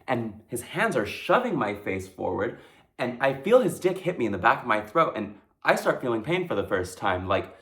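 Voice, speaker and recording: A man playing a dazed, terrified young street hustler in a breathless, halting dramatic stage monologue. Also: mid-range voice, very animated, fairly bright tone. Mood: shaken, hushed. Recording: echoey room.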